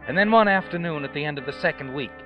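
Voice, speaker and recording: An actor playing an ominous, knowing radio narrator, telling the story dramatically and insinuatingly in 1940s style. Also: male voice, fairly high pitch, animated, fast talker, close to an everyday voice. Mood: suspenseful. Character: ominous, knowing narrator who taunts the protagonist. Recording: dull vintage radio recording. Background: dramatic music.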